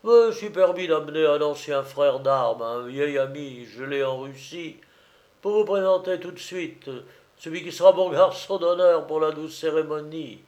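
A man voicing a blustering old Napoleonic drum-major in a storybook reading, booming and bombastic in colloquial soldier's talk. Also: a little slow, a little mellow, close to an everyday voice. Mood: jovial, pompous.

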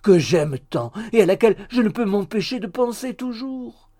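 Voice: medium pitch, animated, moderate pace, warm tone, voice pushed up, natural voice. A reader voicing a pompous young man in a story, pleading with urgent, exasperated grandiloquence. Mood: ardent pleading.

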